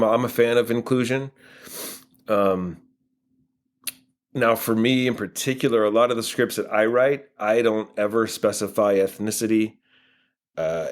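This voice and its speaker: An American man answering interview questions casually, in a halting way as he thinks aloud. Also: on the low side. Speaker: guest playwright and filmmaker.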